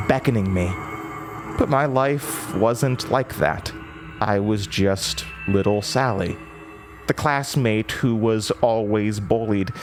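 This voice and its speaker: Male actor as haunted young woman, horror audio drama, slow pace, halting, brooding, obsessive, lonely, faint music underneath. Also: on the low side. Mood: bitter, trapped. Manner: halting, stammering, brooding, intense.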